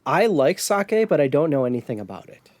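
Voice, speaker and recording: An American man chatting casually as a podcast host, somewhat halting when he describes things. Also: a little high, sing-song, darkish tone, no room sound. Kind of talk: casual podcast chat about retro gaming.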